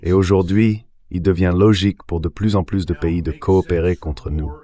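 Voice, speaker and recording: A man's voice: low voice, steady tone, moderate pace, dark tone. A man's synthetic dubbing voice giving political commentary as an interview guest.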